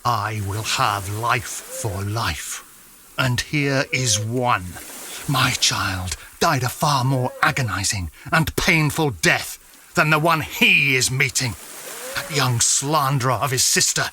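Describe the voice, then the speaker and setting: A man plays a haggard gentleman set on revenge in a Victorian audio drama. His mid-pitched voice is hard and forceful, menacing and deliberate, with some background noise. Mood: cold, vengeful. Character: cold, vengeful, haggard gentleman bent on ruin.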